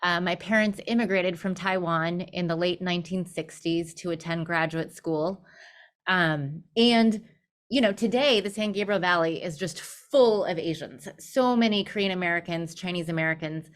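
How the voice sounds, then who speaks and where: A woman's voice: on the low side, moderate pace. Middle-aged Southern California woman guest. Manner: candid, lightly humorous. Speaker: guest, law firm managing partner. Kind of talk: personal storytelling in interview.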